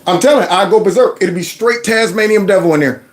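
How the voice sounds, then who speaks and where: A middle-aged man hosting a commentary show, mocking and animated with loud outbursts. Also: high voice, fast. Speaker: host. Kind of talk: commentary vlog reacting to clips.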